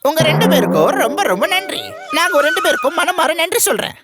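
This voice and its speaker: Female actor as scheming eagle, theatrical, insistent, high-pitched, hugely varied intonation, children's cartoon. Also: wildly swooping pitch, fast talker, over loud music. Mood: sly, insistent. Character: scheming, goading villain eagle, sly and gloating. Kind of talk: Tamil children's cartoon dubbing.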